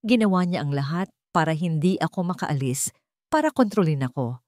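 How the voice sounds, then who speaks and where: A woman's voice: sits low, expressive, fairly quick, a little mellow, no room sound, clean studio sound. A woman voicing a frightened, wounded runaway wife in a story, trembling and deferential. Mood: pained, fearful.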